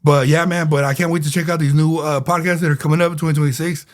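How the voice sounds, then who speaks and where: A man co-hosting a laid-back podcast with a slightly higher voice. He rambles easily, circles back to repeat himself and leans on fillers. He is a bit under the weather. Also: level tone, quick pace. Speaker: podcast co-host. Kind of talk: casual podcast chat.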